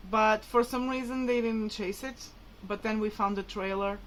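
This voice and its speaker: A woman's voice: mid-range voice, fairly even intonation, normal speed, a little hiss or hum, low-quality recording. A woman whose mother tongue is Portuguese, talking casually and hesitantly to viewers in a rambling, informal vlog. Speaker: vlogger.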